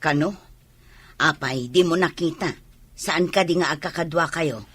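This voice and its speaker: An actor with an Ilocano accent and a husky, sharp-edged voice playing a plainspoken, fretful parent in a radio drama. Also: mid-range voice, a little slow, no echo. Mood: questioning. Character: plainspoken, fretful older parent of a young athlete.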